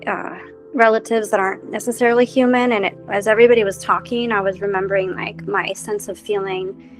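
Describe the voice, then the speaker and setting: A woman's voice: mid-range voice, steady tone, a little fast, soft background music, low-quality recording. A woman from Costa Rica, a helping professional, telling a reflective story in a casual panel chat. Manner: meandering, storytelling, gentle.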